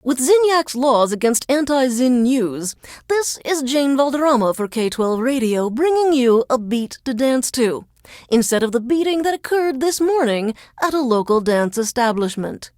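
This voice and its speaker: A woman's voice: a little high, sing-song, moderate pace, a little mellow, dry recording, clean studio sound. An actress playing a resentful female news reporter forced to work as a radio DJ in a video game, with a formal, dramatic, sardonic newscaster delivery. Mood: theatrical, announcing. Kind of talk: scripted radio DJ links in newsreader delivery.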